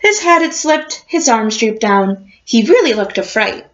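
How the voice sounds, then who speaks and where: A woman reading a picture book aloud like a teacher, expressive and encouraging, addressing young children. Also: a little high, a little slow, muffled recording. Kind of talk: storybook read-aloud with questions to a class.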